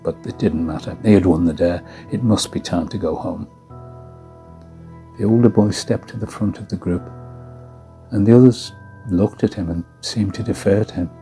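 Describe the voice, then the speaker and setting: A man with a deep voice narrates a ghostly tale. His tone is soft-edged and dark, and his delivery is measured and solemn. Music plays behind him. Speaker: story narrator. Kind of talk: scripted narration of a ghost story.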